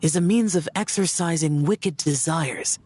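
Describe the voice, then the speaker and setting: A woman's voice: deep voice, a little slow, a little mellow, breathy edge. A woman voicing a stern, reserved young male immortal demon slayer with formal, terse, solemn delivery in a video game.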